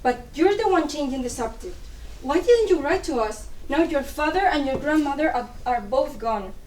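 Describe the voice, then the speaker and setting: Woman as lively, reproachful Cuban confidante for stage drama; distant, reverberant pickup with slight noise. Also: high-pitched, normal speed. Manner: chiding, mixes in Spanish endearments. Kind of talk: dramatic play reading. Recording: echoey room, distant mic, faint noise.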